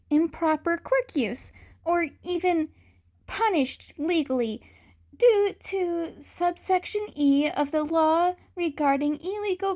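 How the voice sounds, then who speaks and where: Woman voicing an earnest, very high-pitched young boy. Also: darkish tone, exaggerated voice. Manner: pedantic, argumentative, emphatic. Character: young boy, earnest bookish defender reciting laws. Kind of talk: fan fiction audiobook character voice.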